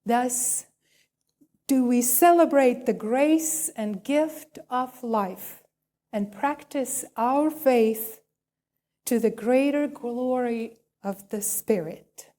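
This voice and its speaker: A woman minister speaking in a measured, deliberate way as she leads a worship service and reads liturgy. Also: a little high, warm tone. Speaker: minister leading a church service. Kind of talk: sermon and liturgical reading.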